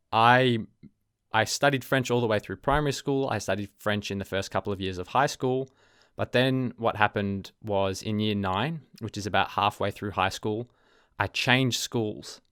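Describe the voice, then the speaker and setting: Australian man, low, smooth podcast voice. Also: a bit bright, no room sound, studio quality. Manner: thoughtful, self-correcting, frequent ums. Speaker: podcast host. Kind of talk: casual solo podcast talk.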